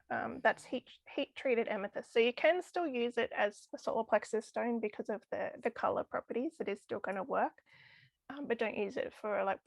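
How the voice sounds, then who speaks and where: Brief: woman guest, chatty and enthusiastic, voice going hoarse, gravelly fry, dry close sound. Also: fairly high pitch, dry recording. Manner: enthusiastic, chatty with frequent laughs. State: losing her voice. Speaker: crystal healing guest. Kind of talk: casual podcast interview.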